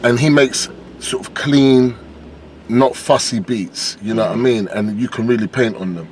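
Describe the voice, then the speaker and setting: A British man with a deep voice and a lisp, a rapper chatting loosely as an interview guest, with lots of fillers and restarts. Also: normal speed, slight background noise. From United Kingdom. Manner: relaxed, rambling, many fillers and restarts. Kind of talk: casual interview chat.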